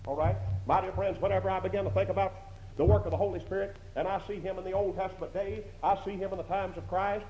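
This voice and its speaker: A man's voice: high voice, fairly even intonation, fast talker, darkish tone, slight background noise. A man with a strong voice preaching a sermon in a church, emphatic, repeating phrases and asking rhetorical questions. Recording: dull lo-fi recording in an echoey hall.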